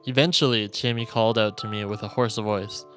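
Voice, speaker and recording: A male streamer reading visual novel narration aloud in a solemn, deliberate storytelling manner. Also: on the low side, fairly even intonation, a bit bright. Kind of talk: dramatic reading of game narration. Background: game music.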